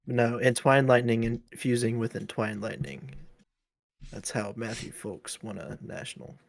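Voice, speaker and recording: Gravelly-voiced man in his twenties, bantering. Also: medium pitch, steady tone, measured pace. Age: 20s. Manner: laid-back teasing banter. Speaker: co-streamer. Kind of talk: casual live-stream banter.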